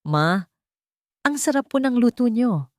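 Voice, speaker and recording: A woman's voice: fairly high pitch, animated, a little slow, no room sound, voice pushed up. A woman reading as an earnest remorseful husband. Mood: grateful. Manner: earnest, pleading. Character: remorseful young husband pleading.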